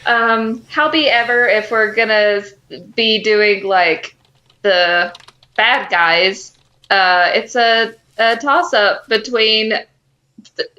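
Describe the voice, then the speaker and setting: A woman co-hosting a podcast, chatting casually about a TV show with halting, hesitant phrasing. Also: fairly high pitch, bright, forceful tone, highs cut off. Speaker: podcast co-host.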